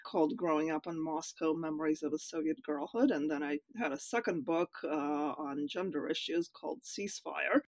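A woman's voice: low voice, moderate pace, few pauses, darkish tone, dry recording. A woman in her fifties from Russia, a writer answering interview questions hesitantly, with many fillers and laughs. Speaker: writer, guest.

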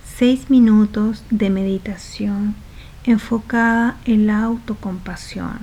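Slow, mellow woman guiding a meditation. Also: mid-range voice, fairly even intonation, a little hiss or hum, poor audio. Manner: soft, unhurried, phrase by phrase with trailing breathy endings. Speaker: meditation guide. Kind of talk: guided meditation.

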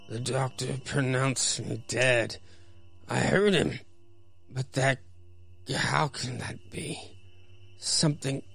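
A man's voice: mid-range voice, vocal fry, fairly bright tone, breathy edge, heavily acted. A man playing a defiant, bewildered young condemned man, earnest and dramatic, in a radio play. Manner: earnest, dramatic, halting when troubled.

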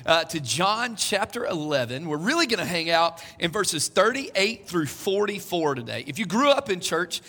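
Pastor guy in his 40s, bright kinda high voice, chatty jokey sermon, echoey church. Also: big pitch swings, normal speed, hardly any pauses. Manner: conversational, joking asides to the congregation. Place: church hall.

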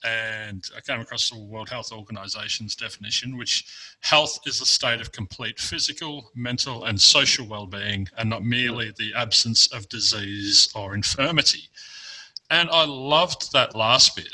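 A man's voice: medium pitch, sharp tone, poor audio. A man hosting an interview, asking questions and murmuring agreement as he listens. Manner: engaged, frequent affirming murmurs. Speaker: interview host. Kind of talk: conversational interview.

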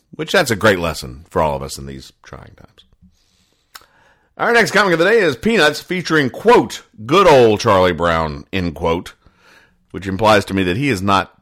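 Man, slightly low voice, casual podcast host, wry, mock-earnest, halting conversational delivery. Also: fairly bright tone. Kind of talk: casual comedic retelling of newspaper comics.

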